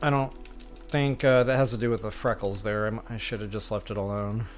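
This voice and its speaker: A man's voice: on the low side, fairly even intonation, brisk, faint music behind. A man hosting a game stream, chatting casually to viewers in an informal, self-deprecating, rambling way. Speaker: host of a video game walkthrough stream.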